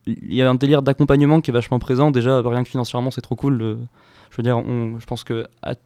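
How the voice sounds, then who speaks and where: A man's voice: medium pitch, little pitch movement, fast talker, mellow, dry recording. A young man in his twenties from France, a band musician chatting casually and playfully in an interview, rambling at times.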